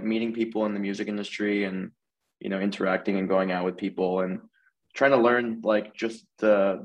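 A man's voice: low-pitched, vocal fry, low-quality recording. A man in his twenties from Florida, chatting casually as a podcast guest over a video call and saying "like" a lot. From Tampa Bay area, Florida. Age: 20s. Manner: relaxed, peppers speech with "like". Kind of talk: casual interview chat.

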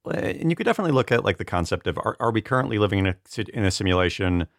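Male guest, deep voice, loose joking conversation, dry close recording. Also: big pitch swings, racing, creaky, no echo. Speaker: podcast guest. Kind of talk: casual chat.

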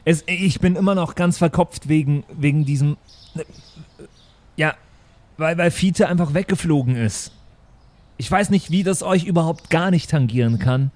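A man playing a fretful, earnest rockstar schoolteacher, halting and insistent, in an improvised audio drama. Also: a little high, a little mellow, close to an everyday voice. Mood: troubled.

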